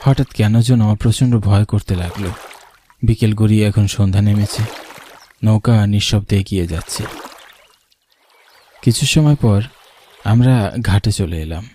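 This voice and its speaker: A man's voice: on the low side, normal speed, pauses often, mellow, slight background noise, lightly acted. A man playing an earnest young man who narrates a cartoon ghost story in the first person. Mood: wondering, uneasy. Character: earnest young man narrating his eerie village journey.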